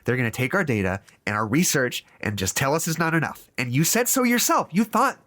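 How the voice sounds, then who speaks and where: A man's voice: a little high, big pitch swings, fairly quick, sharp tone. A man in an audio drama playing a stressed, earnest researcher who tends to stammer and restart. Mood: agitated, defiant.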